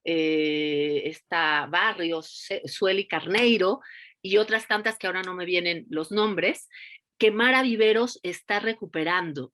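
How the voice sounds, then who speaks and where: A woman's voice: medium pitch, fairly bright tone. A woman speaking as an academic panelist, explaining her view spontaneously in an online seminar. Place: online seminar, remote call.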